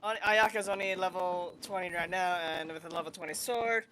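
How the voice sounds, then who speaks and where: A man's voice: sits high, fairly even intonation, moderate pace, background noise. A man giving casual gameplay commentary as a streamer, rambling and thinking aloud. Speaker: game streamer. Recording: game music behind the voice. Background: video game music.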